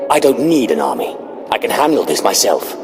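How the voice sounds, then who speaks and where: A very deep voice, a cold Sith warrior in a video game. He is terse and self-assured, with menacing swings of pitch over music. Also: sing-song, a little fast. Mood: cool confidence. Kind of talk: scripted video game acting.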